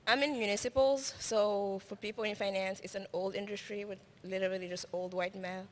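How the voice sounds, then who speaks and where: A woman's voice: mid-range voice, steady tone, brisk. A woman whose first language is French, raised in Paris, speaking hesitantly with many fillers about her finance work on stage. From grew up in Paris, France. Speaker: finance professional, conference attendee. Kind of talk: on-stage conversation. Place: conference stage with audience. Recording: long hall reverb.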